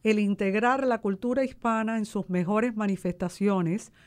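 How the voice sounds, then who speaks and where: A woman's voice: medium pitch, steady tone, normal speed, darkish tone. A woman who founded an arts organization, speaking as an interview guest and explaining things. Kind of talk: promotional interview talk, explanatory.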